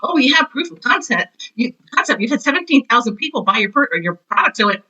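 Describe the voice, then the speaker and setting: A woman's voice: high-pitched, very animated, fast, sharp tone, breathy edge, highs cut off. A middle-aged American woman being interviewed, chatting enthusiastically and with self-deprecating asides, like a teacher telling her own stories. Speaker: podcast guest, teacher and author. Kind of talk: casual interview chat.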